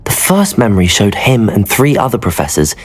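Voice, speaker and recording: A man's voice: fairly low pitch, huge pitch swings, fairly quick. An actor playing a polite, earnest young male wizard student, in scripted game dialogue.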